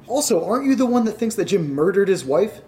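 A man's voice: very animated, quick pace, dark tone. A man playing a frustrated, spooked young man in an audio drama, agitated and swearing as he rants. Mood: uneasy, accusing. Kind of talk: naturalistic audio drama acting. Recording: noisy, rumbly amateur recording.

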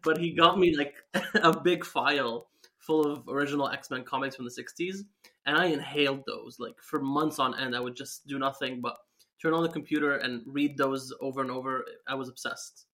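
A man's voice: mid-range voice, normal speed, pushed and bright. A man in his twenties from Syria, a guest talking eagerly with a light laugh about how he got into making comics. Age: 20s. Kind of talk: casual interview chat.

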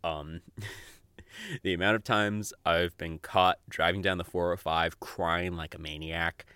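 Male podcast host, low-pitched and dry-recorded. Casual, rambling, giggly and a bit self-conscious. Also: fairly even intonation, measured pace, sharp tone, clean tone, no room sound.

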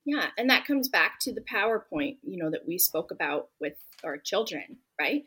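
A woman's voice: fairly low pitch, sing-song, normal speed, bright, forceful tone, slightly rough. A woman author being interviewed, speaking earnestly and emphatically, often saying "you know". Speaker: guest author. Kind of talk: podcast interview answers.

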